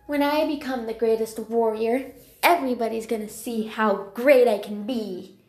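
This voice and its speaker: A woman's voice: a little high, steady tone, normal speed, close to an everyday voice. A woman voicing a shy, eager, childlike young kitten in an amateur animal drama, hesitant and stammering. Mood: eager, affectionate. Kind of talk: amateur character voice acting. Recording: roomy, echoing home recording.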